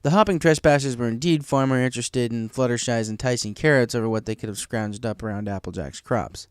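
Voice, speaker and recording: A man's voice: mid-range voice, brisk, smooth, no room sound, studio quality. A man reading scripted story narration as the narrator of a fan tale.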